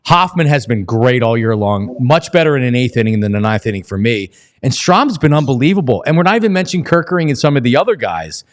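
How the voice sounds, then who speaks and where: A man's voice: mid-range voice, big pitch swings, quick pace, hardly any pauses. A man hosting a casual sports talk show, conversational and opinionated as he interviews a guest.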